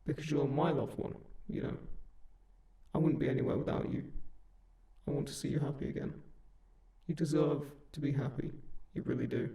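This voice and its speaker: A man playing a kind sleep paralysis demon in a comfort audio roleplay, speaking gently and reassuringly in an unhurried, gravelly voice. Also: mid-range voice, close to an everyday voice. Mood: tender, soothing. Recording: roomy, echoing, slightly distant voice.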